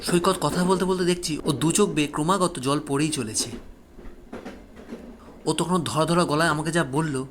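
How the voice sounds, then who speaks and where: A man telling a first-person horror story as a dramatic narrator, with suspenseful, deliberate phrasing. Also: on the high side, fairly bright tone, slight background noise.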